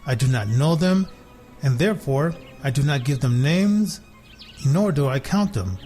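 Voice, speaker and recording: Man reading as a slow, smug storybook cat. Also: on the high side, darkish tone. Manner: deliberate, self-satisfied storybook telling with pauses. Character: smart, smug, possessive pet cat telling its life.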